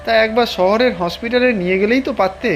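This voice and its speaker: A man's voice: high-pitched, quick pace, soft background music, close to an everyday voice. A man voicing a humble, resigned village day labourer in a cartoon, with folksy, plain-spoken delivery. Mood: resigned, chatty. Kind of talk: cartoon voice acting.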